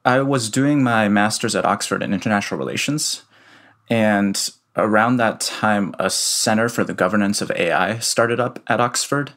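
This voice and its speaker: A young man from the United States answering interview questions from home in a measured, explanatory way with some fillers. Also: fairly low pitch, a bit bright. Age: young adult. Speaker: guest, researcher.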